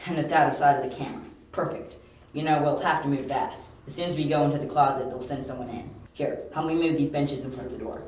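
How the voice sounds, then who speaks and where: A man's voice: on the high side, steady tone, fast, close to an everyday voice. A man playing a confident young detective in an amateur audio drama, brash and analytical, with a dry, clipped, sardonic delivery. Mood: focused, brisk. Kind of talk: hobby audio drama acting. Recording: very echoey, distant, faint hiss.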